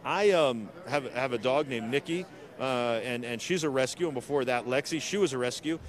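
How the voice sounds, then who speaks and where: A man's voice: medium pitch, normal speed. A middle-aged man from New York City hosting a casual live stream outdoors in a city park, chatty and upbeat, with lots of fillers. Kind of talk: casual live walk-around coverage.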